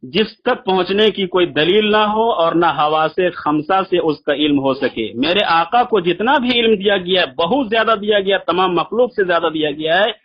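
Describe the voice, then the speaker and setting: A man's voice: high-pitched, normal speed, hardly any pauses. A man debating religion on a phone call, arguing insistently and repeating his points in formal religious vocabulary. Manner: insistent, argumentative, repeats himself. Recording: dull lo-fi phone call recording.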